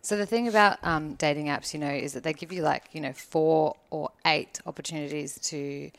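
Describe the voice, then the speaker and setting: A young woman with a very low voice gives blunt, opinionated advice in casual podcast chat. She swears freely and speaks with easy confidence. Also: moderate pace, gravelly, no echo. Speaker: podcast guest.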